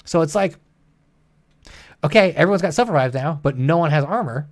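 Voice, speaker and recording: A man's voice: on the high side, animated, brisk. A man co-hosting a gaming livestream, chatting casually with an exasperated, sweary, ranting manner.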